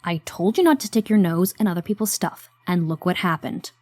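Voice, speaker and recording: A woman's voice: fairly low pitch, lively intonation, a little fast, darkish tone, no room sound. A woman playing a scolding, sarcastic young friend. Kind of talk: audio drama acting.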